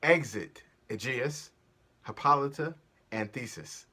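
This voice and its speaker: Theatrical man with animated pitch. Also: slow pace, breathy edge. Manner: dramatic, declamatory. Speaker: storyteller, performer. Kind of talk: theatrical staged reading of Shakespeare.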